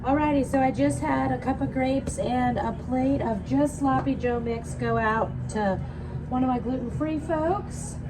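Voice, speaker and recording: Hoarse chatty mom vlogging a hotel room. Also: female voice, high voice, a little slow, over loud music, poor audio. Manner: chatty, upbeat running commentary. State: hoarse, losing her voice. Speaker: vlogger, mother of a large family. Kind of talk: casual vlog narration while cooking. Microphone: handheld phone.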